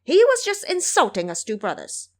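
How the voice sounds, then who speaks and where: Proud male monkey warrior, hot-tempered, scornful, brash, animated high swings, audio drama acted by a woman. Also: normal speed. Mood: indignant.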